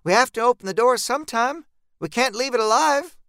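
A man's voice: extremely high pitch, animated, brisk, pushed and bright, clean tone, dry recording, studio quality, voice pushed up, full character voice. A man voicing a tough, urgent woman monster hunter in an audiobook, with an insistent, clipped delivery. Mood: gently persuading.

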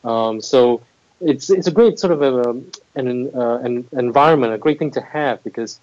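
A man's voice: medium pitch, moderate pace, darkish tone, no echo, dull audio. A middle-aged man from Singapore, a guest talking thoughtfully about his career, with frequent restarts and fillers. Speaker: MBA admissions head, guest. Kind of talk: interview conversation.

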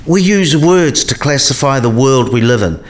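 Man acting animated, eccentric old professor. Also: medium pitch, normal speed, lightly acted. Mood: explanatory. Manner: musing, didactic. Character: eccentric, kindly old male philosophy professor. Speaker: professor character in a radio play. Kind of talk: scripted radio play dialogue.

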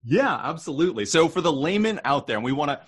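A male podcast host with a husky voice who asks friendly, rambling questions in a casual interview. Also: a little high, sing-song, fast talker.

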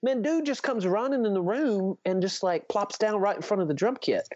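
A man's voice: high-pitched, normal speed, a little mellow. A laid-back man in his fifties talks in long, looping sentences, dropping "man" again and again. He chats casually from home. The recording sounds a little dull and muffled. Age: 50s. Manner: laid-back, rambling, says "man" a lot. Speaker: podcast co-host, drummer. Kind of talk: casual chat.

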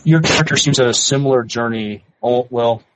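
A man co-hosting a casual podcast interview, laying out his thoughts in halting, thoughtful phrases. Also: medium pitch, a bit bright, low-quality recording.

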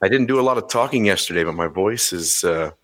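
A man hosting a podcast in casual chat, his voice a little hoarse and crackly, talking haltingly with many fillers. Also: low voice, expressive. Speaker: podcast host.

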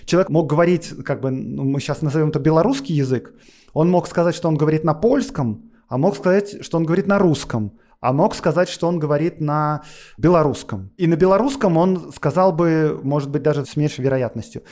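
Guy explaining old history stuff on a podcast, kinda high voice, lots of um and like. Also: a little fast, darkish tone. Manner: lecturing, many fillers and asides. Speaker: guest historian.

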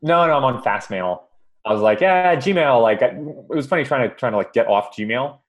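A man's voice: medium pitch, expressive, normal speed, highs cut off. A man from Hawaii, a podcast guest chatting casually and hesitantly, with lots of ums and repeated words. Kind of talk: casual interview chat.

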